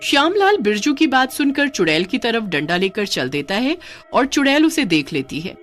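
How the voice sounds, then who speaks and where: A woman telling a scripted story as narrator, in an expressive storytelling style. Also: on the high side, normal speed, faint music behind.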